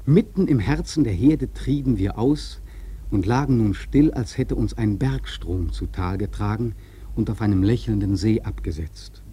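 A man playing a whaler who solemnly recounts the hunt, in dramatic literary radio play narration with a measured manner. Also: on the low side, dark tone, slightly rough. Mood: awed, hushed.

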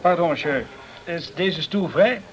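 A man's voice: a little high, unhurried, lightly acted. A man playing a polite, chatty journalist, peppering his talk with words, in a radio play. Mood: sociable, courteous. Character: polite, talkative French journalist in the tropical West Indies. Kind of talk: Dutch radio play acting.

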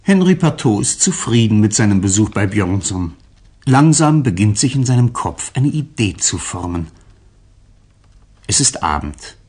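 An actor playing the narrator of a detective radio drama, telling the story deliberately as scripted narration. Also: male voice, fairly low pitch, sing-song, a little mellow, husky, full character voice. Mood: calm.

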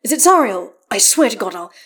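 A woman's voice: a little high, lively intonation, unhurried, darkish tone, voice pushed up. A woman voicing a vain, prickly man in a story, indignant, snappy and dramatic. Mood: alarmed.

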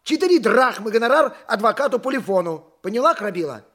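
An excitable donkey driver in an audio play. His high voice swoops widely as he argues like a stubborn, pious, working-class husband, theatrical and quick to take offence. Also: full character voice. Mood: gleeful, scheming. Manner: theatrical, indignant, chuckling cunningly. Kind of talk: audio play stage acting.

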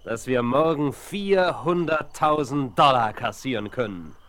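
A man's voice: medium pitch, lively intonation, a little slow, over a noisy crowd, close to an everyday voice. An actor playing a self-satisfied outlaw rider reporting to his gang in a 1970s radio drama. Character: tramp outlaw rider, gang messenger, pleased with himself. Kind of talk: 1970s German audio drama acting. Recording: vinyl rip, loud rowdy men behind.

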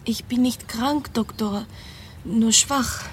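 Slow, high-voiced anxious young gentlewoman. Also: a little hiss or hum. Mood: weak, uneasy. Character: frail, anxious young gentlewoman plagued by nightmares. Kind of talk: German radio drama acting.

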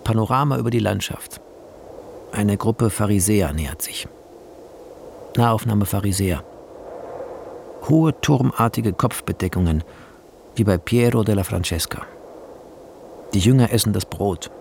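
A man reading scripted scene directions for a radio drama in a measured, terse way. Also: on the low side, creaky, darkish tone, a little hiss or hum. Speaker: narrator.

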